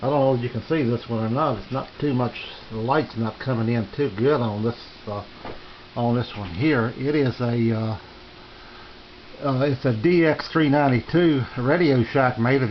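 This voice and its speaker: Brief: middle-aged male hobbyist, halting delivery full of fillers, dull lo-fi home recording with faint hiss. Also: medium pitch. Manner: halting, many "uh" fillers and restarts. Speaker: ham radio hobbyist demonstrating receivers. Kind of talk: casual home video demonstration. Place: home radio shack. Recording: dull lo-fi camera audio with hiss.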